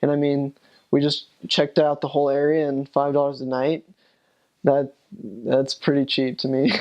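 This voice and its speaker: A man's voice: mid-range voice, darkish tone. A young man, a returned missionary, recalling his mission in a rambling, casual way with many fillers and restarts. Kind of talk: casual recollection.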